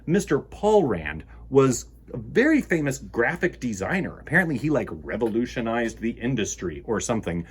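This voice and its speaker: A man's voice: medium pitch, big pitch swings, normal speed, breathy edge. A man hosting a children's storytime, reading aloud and chatting expressively to young listeners in an enthusiastic, encouraging manner. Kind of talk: expressive read-aloud and chat addressed to children.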